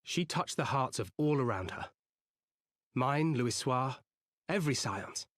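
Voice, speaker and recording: An actor playing a solemn, protective adventurer haunted by regret in a fantasy game, speaking in an earnest, measured way. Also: male voice, mid-range voice, animated, a bit bright, no echo, studio quality. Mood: tender, wistful. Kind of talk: fantasy video game cutscene acting.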